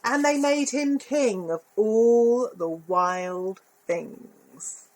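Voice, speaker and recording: A woman reading a picture book aloud to children, expressive, with little asides about the pictures. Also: a little high, extremely slow, low-quality recording. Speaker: volunteer story reader.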